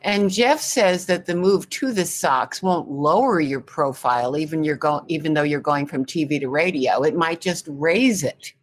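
A woman's voice: deep voice, normal speed, few pauses. A woman hosting an interview show, introducing and questioning a guest.

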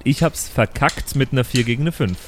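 Man with mid-pitched voice, noisy backdrop. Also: brisk. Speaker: tabletop game player. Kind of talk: casual table talk. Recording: clearly noisy, crickets chirping.

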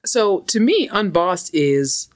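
A middle-aged woman from New with a deep, soft, soothing voice, speaking as a podcast guest in a calm, self-assured way. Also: slow. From United States. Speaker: podcast guest, law firm partner. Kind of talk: casual interview conversation.

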